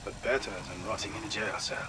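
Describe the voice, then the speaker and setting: A man's voice: steady tone, quick pace, close to an everyday voice. A man playing a captured sergeant turned monster hunter, dry and resigned in a game audio log. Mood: cynical, resigned. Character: captured German male sergeant, cynical expendable monster hunter. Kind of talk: dramatic audio log acting. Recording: noisy audio log with engine-like rumble.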